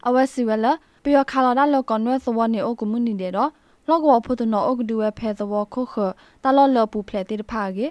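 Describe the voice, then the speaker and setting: A woman with a fairly high voice reads scripted radio news. The sound is fairly dry, with little room around her, as a news presenter would have in a studio. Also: fairly quick, darkish tone, dry recording.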